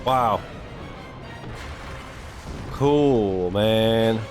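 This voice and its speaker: A man's voice: lowish voice, very slow, darkish tone, soft background music. A man hosting a reaction video in casual, slangy, sweary chat.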